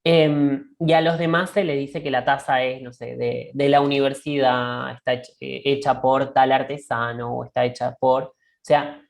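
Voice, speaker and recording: Low-voiced woman musing in a halting way. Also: little pitch movement, creaky. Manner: thoughtful, halting, often checks in with a questioning "right?". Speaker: discussion co-host. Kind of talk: reflective conversational dialogue.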